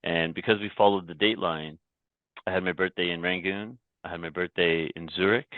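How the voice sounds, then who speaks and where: A very low-pitched man speaks as a podcast guest, recounting his own story in a reflective, halting way with frequent restarts. His voice comes through a thin, phone-like remote line with a dry, close sound. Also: steady tone, no room sound. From Canada. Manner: reflective, many restarts and repeated words. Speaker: podcast guest, company founder. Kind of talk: casual interview talk.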